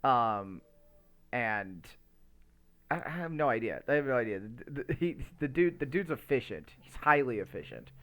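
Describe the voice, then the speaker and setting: A man's voice: mid-range voice, sing-song, moderate pace, a bit bright. A man hosting a casual podcast and chatting to a live stream, with a rambling, stammering delivery and loose, sweary language. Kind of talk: casual unscripted podcast chat.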